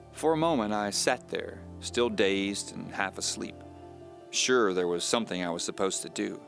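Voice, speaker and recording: A man playing an uneasy man recounting his own mystery in measured, confiding, scripted audio drama narration. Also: deep voice, a bit bright. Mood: weary, confiding.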